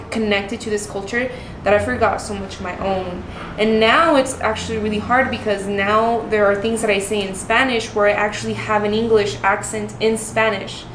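A young first-generation Mexican American woman hosting an interview. She talks in a casual, chatty way, giggles, swears, and fills her speech with "like". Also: mid-range voice. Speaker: interview host. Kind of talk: casual chat. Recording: echoey room with noticeable background noise.

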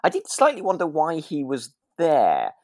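A British man co-hosting a podcast in relaxed, casual chat, speaking tentatively and hedging as he goes. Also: on the high side, normal speed, no echo, studio quality. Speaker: podcast co-host.

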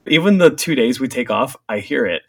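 A man's voice: lively intonation, a little fast, fairly bright tone, husky, no echo. A man co-hosting a casual podcast, teasing and dry in his humor. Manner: dry, teasing, drops low when joking. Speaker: podcast co-host. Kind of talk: casual podcast chat and interviewing.

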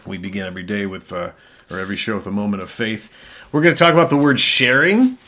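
A man's voice: fairly low pitch, animated, fast talker, sharp tone. A man hosting a talk show in a casual, rambling way, often correcting himself mid-sentence. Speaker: podcast host. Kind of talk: casual chat.

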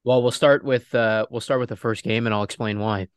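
A man's voice: medium pitch, steady tone, a little fast, smooth, no room sound, clean studio sound. A young man who is feeling ill, co-hosting a casual sports podcast in an easygoing, confident way. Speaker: podcast co-host.

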